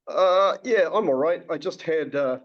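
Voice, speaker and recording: Wry man with a higher voice on a video call. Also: unhurried. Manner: wry, joking, conversational. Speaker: interviewer. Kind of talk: casual video call chat. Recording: dull lo-fi video call audio.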